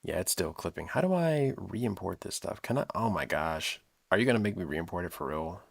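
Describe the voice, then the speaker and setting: A man chatting casually on a livestream while he works, thinking aloud in a halting, rambling way with repeated phrases. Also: low-pitched, animated, gravelly, slightly rough. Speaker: livestream host and audio drama producer.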